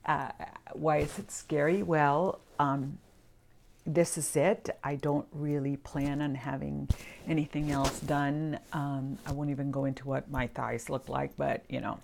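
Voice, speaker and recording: A woman's voice: low-pitched, steady tone, unhurried, vocal fry, darkish tone, slight background noise. An older woman from Argentina speaking candidly to camera in a personal vlog, reflective and hesitant with many fillers and restarts. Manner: confessional and reflective. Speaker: weight-loss vlogger.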